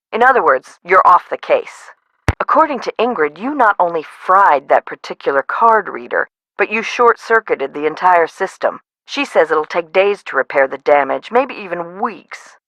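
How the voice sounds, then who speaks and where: A woman's voice: low voice, bright, forceful tone, dry recording, poor audio. A woman playing a blunt, businesslike amusement park boss in a video game, with a matter-of-fact manner. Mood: stern, annoyed.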